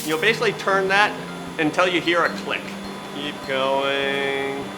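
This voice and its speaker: A woman's voice: deep voice, a little slow, poor audio. A woman acting as a lab mentor, guiding hands-on work patiently and encouragingly. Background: music.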